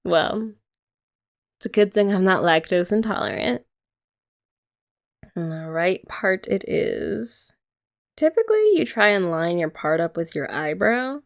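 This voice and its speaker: Playful woman with a gravelly edge. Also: medium pitch. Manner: soft, playful and teasing, talking to the listener. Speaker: ASMR creator. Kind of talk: ASMR girlfriend roleplay.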